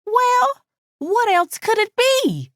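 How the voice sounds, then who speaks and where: A woman's voice: very high-pitched, big pitch swings, a bit bright, no echo, studio quality, pitched above the performer's own voice, full character voice. A woman voicing an enraged, betrayed, foul-mouthed woman in a dramatic audiobook, taunting and indignant, leaning hard on her words. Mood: shocked, incredulous. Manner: taunting, indignant, drawn-out emphatic delivery. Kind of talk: dramatic audiobook character dialogue.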